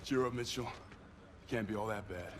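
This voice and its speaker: Guy playing chill young marine pal in a game, lowish voice, very noisy behind. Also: normal speed, natural voice. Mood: reassuring. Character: easygoing, reassuring young male Marine buddy. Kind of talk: video game cutscene dialogue. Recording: very noisy background with clicks.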